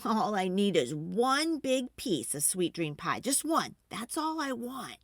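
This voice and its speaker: A woman's voice: mid-range voice, sing-song, slow, dry recording, studio quality, heavily acted. A woman voicing an eager older storybook husband, pleading and coaxing for a treat. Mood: pleading promise. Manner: coaxing, promising.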